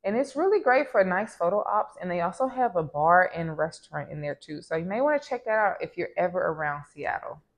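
A woman of thirty from the American South, chatting to camera about a trip in a relaxed vlog, recorded at home on a lapel mic. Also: fairly low pitch, fairly quick, hardly any pauses, a little hiss or hum. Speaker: vlogger. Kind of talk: casual travel vlog. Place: small home room with plants.

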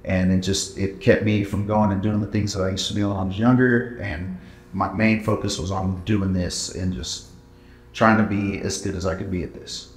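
Low-voiced thirties gym owner from Texas, halting casual answers, roomy gym sound. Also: male voice, fairly even intonation, faint music behind. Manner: plain-spoken, halting with many uh fillers. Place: large indoor gym. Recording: echoey gym room.

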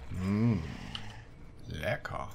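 A man's voice: low-pitched, very slow, vocal fry, background noise. A man giving casual let's play commentary and reading quest text aloud, with playful colloquial asides. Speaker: gaming video host.

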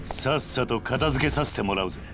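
An actor playing a stern, stoic yakuza hero in a video game cutscene, giving terse, resolute commands. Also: male voice, mid-range voice, fairly quick, over music, lightly acted. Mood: cold determination.

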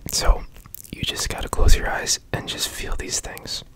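A man guiding a meditation in ASMR style, in a soft breathy whisper with gentle, soothing instruction, close to the microphone. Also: measured pace, rough recording. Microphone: close studio microphone.

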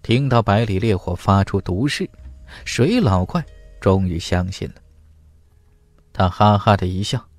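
A man's voice: lowish voice, animated, measured pace, pauses often, dry recording, soft background music. A man narrating dramatic fiction from a script as an audiobook reader.

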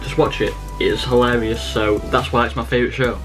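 A man's voice: medium pitch, fairly even intonation, brisk, music in the background. A fifteen-year-old boy with an English accent, talking casually to camera as a vlogger answering questions. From England. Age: 15, teenager. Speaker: vlogger, channel host. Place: small bedroom, indoors.

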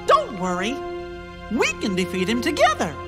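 An actor voicing a small, cheerful cartoon mouse king in a video game, with a high squeaky falsetto and an earnest, friendly way of talking. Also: huge pitch swings, normal speed, full character voice. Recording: game audio with loud music underneath.

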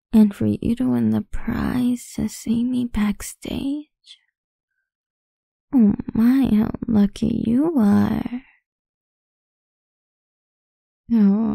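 A woman playing a possessive, seductive goth vampire idol in an intimate ASMR roleplay, breathy and husky, often whispering, slow and teasing, close on the mic. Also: fairly high pitch, mellow, dry recording, heavily acted. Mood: teasing, inviting. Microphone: close studio-style microphone, dry.

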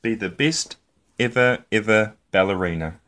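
A man reading a picture book aloud for young children in an expressive storytelling style, with deliberate, playful phrasing. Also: lowish voice.

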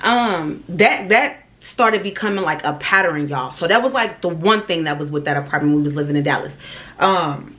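A young woman in her twenties from Ohio, telling her story to viewers in a casual, animated vlog and calling them "y'all". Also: on the low side, moderate pace. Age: 20s. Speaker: vlogger. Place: small indoor room.

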